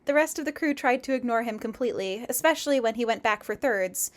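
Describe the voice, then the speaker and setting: A woman hosting a casual livestream read-aloud, chatting and reading in an expressive, effusive, giggly way. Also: on the high side, fast talker. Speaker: livestream host reading fan fiction aloud.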